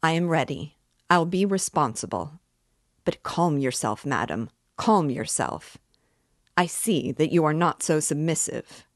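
Woman doing a stiff pompous gentleman. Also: low-pitched, expressive, no room sound, studio quality. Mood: guarded. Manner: muttering, measured and fussy. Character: stiff pompous middle-aged man, cautious.

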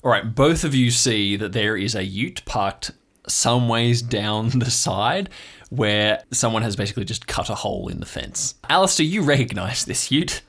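A man's voice: lowish voice, expressive, normal speed, fairly bright tone. A man narrating as game master in an improvised tabletop story, describing scenes in an informal, conversational way.

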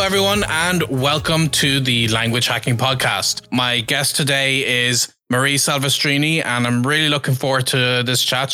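A man's voice: mid-range voice, sharp tone, dry recording. A man hosting a podcast, welcoming a guest and asking conversational questions. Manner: friendly, conversational questions with fillers. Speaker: podcast host. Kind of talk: podcast interview.

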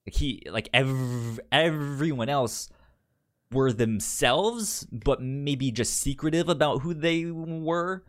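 A man's voice: mid-range voice, slow. A man co-hosting a casual film discussion, stammering and repeating the first sounds of words. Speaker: podcast co-host.

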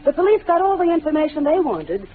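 A composed, evasive young woman fends off accusations in a vintage radio drama. Her voice sits high and guarded, with a faint hiss under the thin, tinny broadcast sound. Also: sing-song, quick pace. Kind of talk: 1940s radio acting.

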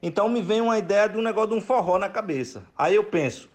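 A man, a songwriter telling the story of writing a song in a chatty, animated way. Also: sits high, fast. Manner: chatty, animated, with warm praise for collaborators. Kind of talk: casual storytelling.

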